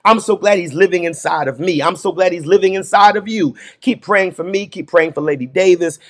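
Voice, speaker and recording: A middle-aged Southern pastor with a somewhat high, ringing voice calls out praise with fervor and repeats his phrases for emphasis. He speaks close to a webcam in a small home studio. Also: brisk. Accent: Southern American. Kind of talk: closing prayer and announcements. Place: small indoor home studio before a green screen.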